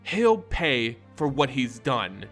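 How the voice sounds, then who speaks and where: A man voicing a wary, earnest werewolf woman in a fantasy story reading, measured and guarded. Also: medium pitch, sing-song, a bit bright, voice pushed down. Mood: resolute. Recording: voice over background music.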